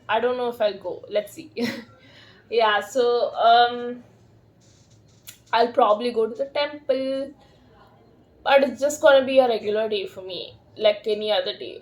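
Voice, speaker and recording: Slow, high-voiced woman in her thirties. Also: poor audio. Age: 30s. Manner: slow, relaxed and rambling, with soft laughs and many fillers. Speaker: vlogger. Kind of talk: casual chat to camera.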